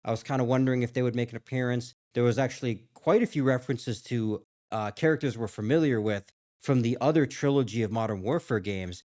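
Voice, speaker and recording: A man's voice: mid-range voice, brisk, darkish tone. A man hosting a gaming reaction video, talking casually and thinking aloud in a musing, hedging way.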